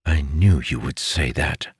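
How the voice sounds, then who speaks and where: A man's voice: a bass voice, a little slow, husky, no room sound, studio quality, full character voice. A man voicing a spoiled, hot-tempered younger brother in an audiobook, terse and sarcastic. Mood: sullen, sarcastic. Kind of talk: audiobook character voice.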